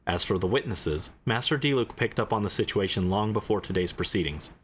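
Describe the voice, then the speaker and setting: A man voicing a composed, eloquent investigator and commander in a game, speaking in a measured, formal, deliberate way. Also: fairly low pitch, animated, slight background noise, close to an everyday voice. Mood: calm, commanding.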